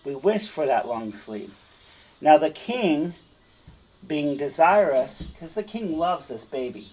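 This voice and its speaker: A man with a husky voice, speaking as a teacher in an emphatic, deliberate storytelling style, checking in with listeners. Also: on the high side, a little mellow, a little hiss or hum, poor audio. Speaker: teacher reading and explaining a fairytale. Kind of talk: storytelling read-aloud with explanatory asides.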